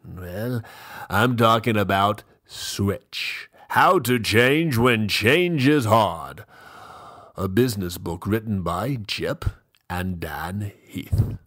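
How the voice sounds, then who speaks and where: A man playing a pompous, fussy, self-indulgent gentleman host who gives mock-literary dramatic readings with drawn-out, theatrical pauses. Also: on the low side, animated, full character voice. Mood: grandly dramatic.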